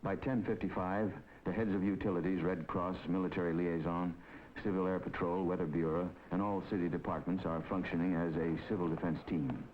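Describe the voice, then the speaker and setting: Deep-voiced 1950s documentary narrator, man. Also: flat delivery, slight background noise. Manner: measured, authoritative. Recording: dull 1950s film soundtrack with music.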